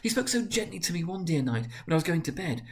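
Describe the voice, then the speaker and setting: Man putting on a gleeful high-voiced little girl. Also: very animated, fast, vocal fry. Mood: gleeful, tender. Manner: breathless childish eagerness, affectionate.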